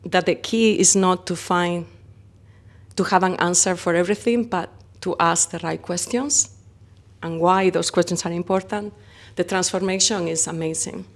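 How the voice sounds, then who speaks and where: A woman's voice: on the low side, normal speed. A woman from Spain with a Spanish accent, a company founder talking expressively in a conference interview. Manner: animated, expressive, uses vivid comparisons.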